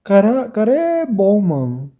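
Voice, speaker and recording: Reaction commentator: Brazilian male, very soft-edged dark voice, loose conversational delivery. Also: sits high, huge pitch swings, measured pace, highs cut off. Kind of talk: casual reaction chat.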